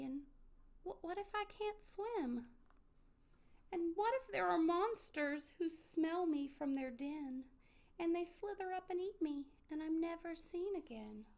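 A woman voicing a small, childlike, excitable young boy character in a storybook reading. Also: very high-pitched, unhurried, voice pushed up, exaggerated voice. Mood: anxious, timid. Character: frightened then joyful small young male child, Pip-Pip.

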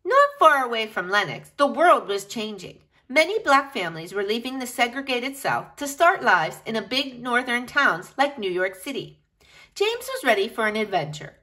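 A woman reading a picture book aloud to children in an expressive, animated way, speaking directly to her young listeners. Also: a little high, moderate pace.

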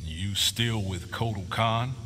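An actor playing a blunt, principled tough fighter in a fighting game. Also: male voice, lowish voice, slow pace, bright, forceful tone. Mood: stern. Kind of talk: fighting game character dialogue. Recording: clear game background noise.

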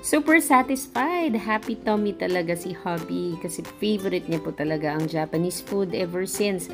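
A woman's voice: lowish voice, normal speed. Filipino woman food vlogger, music behind. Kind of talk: vlog narration mixing casual chat and presenting.

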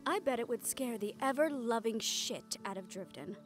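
Girl acting as a caring siren mentor lady, highish voice, earnest and tender, intimate audio rp with music under it. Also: lively intonation, normal speed, close to an everyday voice. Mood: encouraging, wry. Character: caring, encouraging supernatural woman, a siren-banshee mentor. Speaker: audio roleplay performer.

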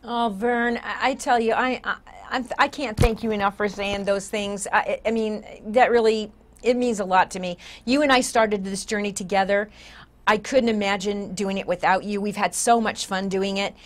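Older lady in her 60s hosting a studio chat show, average pitch, very effusive. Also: fairly even intonation, normal speed. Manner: effusive, emphatic. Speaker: talk show host. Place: TV studio set, seated.

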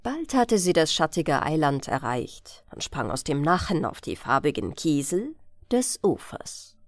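A woman reading a fairy tale as a bedtime audiobook, in an expressive, measured storytelling manner. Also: sits low, no room sound, clean studio sound. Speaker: audiobook narrator.

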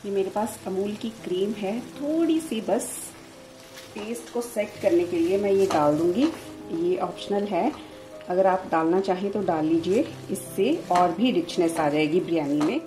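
A woman hosting a home cooking channel, giving steady step-by-step recipe instructions and speaking to her viewers as friends. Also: fairly low pitch, a little slow. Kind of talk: recipe demonstration voice-over. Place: home kitchen. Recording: roomy kitchen sound with music.

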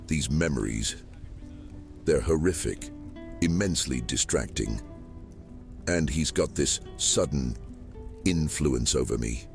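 A man playing a secretive, burdened ancient djinn living in a vampire's body, gravelly, in a fantasy audio drama. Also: very deep voice, lively intonation, slow pace, pauses often, a little mellow, faint music behind, full character voice. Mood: troubled. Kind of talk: immersive fantasy roleplay audiobook acting.

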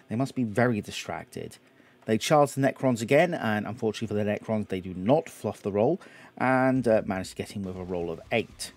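A man in his thirties with a Geordie accent, narrating a tabletop battle report at home, slightly hoarse, rambling with lots of fillers. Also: mid-range voice, darkish tone. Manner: rambling, self-deprecating, lots of filler words. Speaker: YouTube host. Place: home games room.

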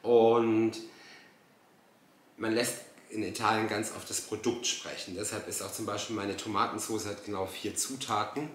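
A man's voice: on the low side, level tone, normal speed, echoey room, a little hiss or hum. A man in his thirties giving casual, exasperated and sarcastic reaction commentary. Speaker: host reacting to a TV dinner show.

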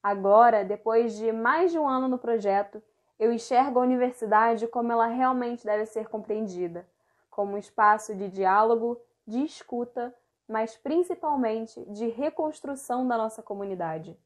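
A young woman, a student reporter, presenting a scripted segment to camera in a measured, deliberate way, recorded on a wired earphone mic. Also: fairly high pitch. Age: young adult.

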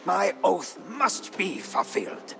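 An actor playing a proud, ambitious, ageing Majapahit minister in a game, narrating his story in a solemn, theatrical, deliberate manner. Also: high voice, sing-song, gravelly, fairly bright tone, heavily acted. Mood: proud, resolute. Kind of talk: dramatic scripted game narration in first person. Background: background music.

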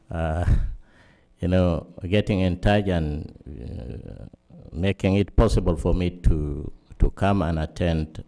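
A middle-aged man with a Sudanese accent, giving formal panel remarks in a halting, earnest way with many fillers, speaking into a table mic in a conference room. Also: low-pitched, mellow.

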